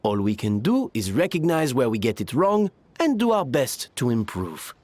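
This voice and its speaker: A man's voice: medium pitch, sing-song, normal speed, darkish tone, no room sound. An actor playing an earnest, supportive male restaurant worker in a video game, speaking emphatically. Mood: reassuring.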